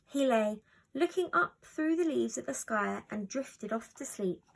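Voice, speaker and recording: A woman narrating a children's story from a script in an expressive storytelling style. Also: fairly high pitch, measured pace, vocal fry, a little hiss or hum. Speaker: storybook reader.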